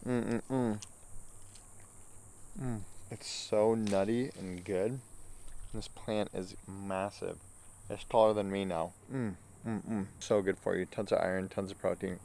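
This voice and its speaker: A man's voice: fairly low pitch, gravelly, darkish tone, clear, resonant tone. An American man in his thirties chatting casually to the camera as a vlogger, in an informal, easygoing way. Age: 30s. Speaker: family vlogger. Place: mostly outdoors in a garden. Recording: noisy outdoor camera audio.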